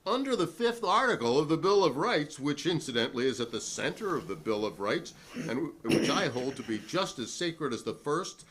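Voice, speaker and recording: A man's voice: fairly high pitch, very animated, normal speed, noisy background. An American man reading the part of a defiant, principled witness at a 1950s hearing in an emphatic, oratorical way. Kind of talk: dramatic reading of a hearing transcript.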